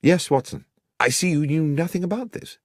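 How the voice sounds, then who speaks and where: A man with a British accent voicing an anxious, friendly Victorian gentleman journalist in an audiobook, earnest and genteel. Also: a little high, animated, moderate pace, dry recording, clean studio sound, voice pushed up. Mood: confiding.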